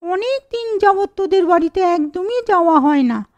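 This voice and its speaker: A woman's voice: very high voice, expressive, normal speed, darkish tone, highs cut off. A woman voicing an earnest young woman in a cartoon story, explaining herself carefully.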